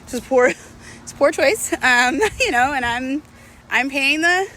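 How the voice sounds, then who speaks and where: A woman's voice: high-pitched, a little slow, pushed and bright, a little hiss or hum. A woman in her late thirties giving a casual, confessional monologue, reflective and candid, talking through her thoughts in a self-questioning, conversational way. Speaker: vlogger sharing personal relationship advice.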